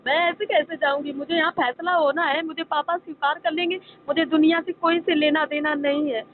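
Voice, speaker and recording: High-pitched pleading woman on tinny phone. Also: steady tone, a little fast. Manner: insistent, pleading, repetitive. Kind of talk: emotional private phone argument. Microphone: phone call. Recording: narrow tinny phone line, faint noise.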